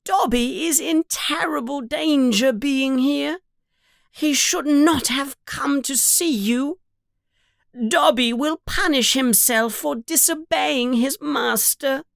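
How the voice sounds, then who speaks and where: Woman acting out storybook house elf, very high voice, slow delivery, servile, fearful, pleading, dramatic. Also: gravelly, dry recording, heavily acted. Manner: pleading, dramatic, speaks of himself in third person. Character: frightened, devoted, self-punishing male house elf servant.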